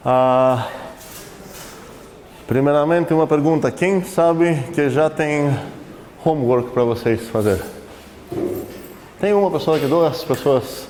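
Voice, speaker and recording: A man's voice: on the high side, darkish tone. Foreign-accented male lecturer, conversational, quizzes the class, recorded in a reverberant hall with faint background noise. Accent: foreign accent in Portuguese. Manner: conversational, asks the class questions, self-correcting. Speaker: university math lecturer.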